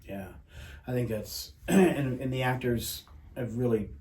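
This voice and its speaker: A man's voice: mid-range voice, unhurried, a little mellow. A man, a playwright, talking reflectively in a modest, hesitant way in an echoey room with faint noise. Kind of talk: casual reflective conversation.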